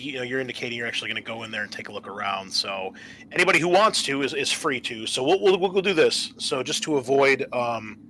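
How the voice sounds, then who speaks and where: A man narrating a tabletop horror game as game master, pausing often as he describes the scene. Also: mid-range voice, pushed and bright, a little hiss or hum.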